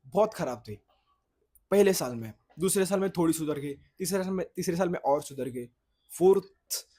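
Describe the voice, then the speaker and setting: A man's voice: fairly high pitch, darkish tone, husky. A young man whose first language is Marathi, talking casually to camera in a vlog, rambling and correcting himself as he goes. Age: young adult. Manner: rambling, loses his thread, self-corrects. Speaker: content creator. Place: outdoors in the sun, at a boys' hostel.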